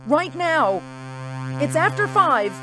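A woman's voice: sits high, very animated, takes it slowly, over music, exaggerated voice, poor audio. A woman playing a terrified, frantic wife in a suspense radio drama, breathless and pleading. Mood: nervous. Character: terrified, frantic wife hiding from alien invaders.

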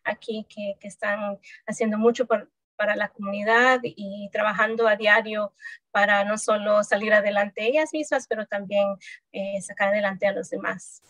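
A woman's voice: medium pitch, steady tone, normal speed, a bit bright, dry recording. A woman in her thirties, born in El Salvador, answering interview questions on a video call in a thoughtful way, with many hesitations and restarts. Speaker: researcher guest. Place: home room.

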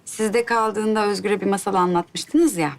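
Gentle, creaky-voiced mother. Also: mid-range voice, fairly even intonation, a little slow. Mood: polite, hesitant. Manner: coaxing, courteous. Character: gentle, polite, patient young mother. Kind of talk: naturalistic TV drama acting.

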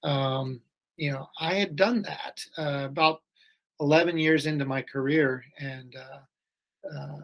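A middle-aged man, a school head, speaking as a podcast guest over a video call in a thoughtful, measured way. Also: on the high side, steady tone, vocal fry, muffled recording. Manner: thoughtful, measured, restarts phrases. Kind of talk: casual interview chat. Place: home or office, video call.

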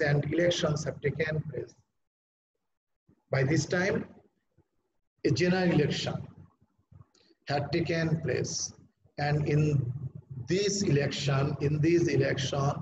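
A man's voice: a little high, recorded from a distance. A middle-aged man with a Bangladeshi accent, a Bengali speaker lecturing in English to an online college class, emphatic and repeating phrases to explain them. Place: indoor room. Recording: roomy, dull, noisy webcam audio.

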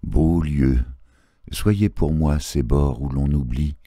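A man reciting poetry in a solemn literary style, measured and phrase by phrase, with pauses. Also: very deep voice, warm tone, dry recording, studio quality. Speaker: poetry reader.

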